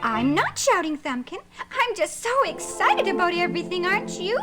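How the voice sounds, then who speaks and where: A woman playing a caring but bossy young female rabbit, scolding, shrill, very high voice, loud music beneath. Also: sing-song, moderate pace. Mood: excited. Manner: scolding, shrill when alarmed.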